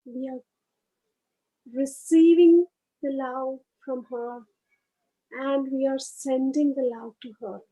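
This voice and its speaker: A woman leading a guided meditation, speaking in short, unhurried phrases with pauses between them. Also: high-pitched, steady tone, dark tone, rough recording.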